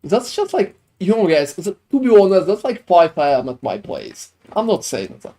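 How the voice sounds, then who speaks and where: A man's voice: sits high, sing-song, normal speed, dark tone. A man with a French and Swedish accent, chatting casually on a game live stream, answering viewers in a rambling, filler-heavy way.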